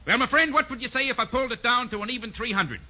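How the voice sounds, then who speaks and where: Slick, crooked fur dealer, high and piercingly bright, 1950s radio comedy, muffled old recording. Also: male voice, fast, exaggerated voice. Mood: pushy. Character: slick, shady fur dealer, fast-talking salesman.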